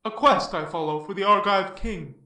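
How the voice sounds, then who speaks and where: A man's voice: high voice, moderate pace, gravelly, bright, forceful tone, far from the mic, soft background music, exaggerated voice. A performer playing a bold, confident travelling hero of Greek myth in declaimed verse drama, as a man. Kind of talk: classical verse drama reading. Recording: echoey room, off the microphone.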